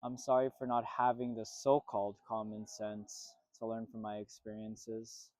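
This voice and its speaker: Earnest low-voiced man, dry sound. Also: flat delivery, darkish tone, no room sound. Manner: measured, earnest, repetitive phrasing. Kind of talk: reflective spoken apology monologue.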